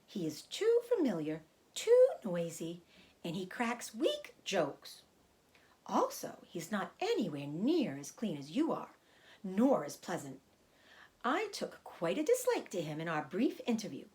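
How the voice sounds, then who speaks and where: A woman's voice: medium pitch, huge pitch swings, a little mellow. A woman playing a polite, weary spider lady. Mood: measured. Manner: polite, measured, dry. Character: wise gentle female spider, polite and weary.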